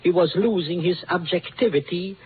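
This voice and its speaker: An actor playing an elderly, pompous psychoanalyst in deliberate, theatrical 1940s radio style. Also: male voice, sits high, slightly rough. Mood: candid, self-satisfied. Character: elderly Viennese psychoanalyst, pompous, chuckling, self-regarding. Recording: tinny, noisy 1940s radio recording.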